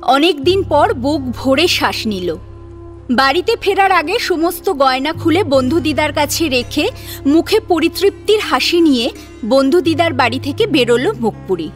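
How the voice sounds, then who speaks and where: A woman narrating a dramatic audio story in an expressive storytelling style. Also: sits high, moderate pace. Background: music.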